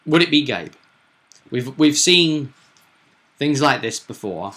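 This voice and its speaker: A man's voice: medium pitch, lively intonation, slow, sharp tone. A man chatting as a game master, recounting a tabletop roleplay session with emphatic, dramatic storytelling.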